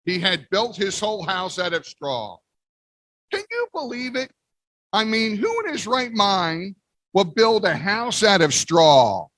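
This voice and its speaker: A man reading a picture book aloud as the wolf, playful with big pauses. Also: high-pitched, big pitch swings, a bit bright, dry recording. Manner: playful, exaggerated sneezes and storyteller pauses. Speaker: guest reader. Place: library event.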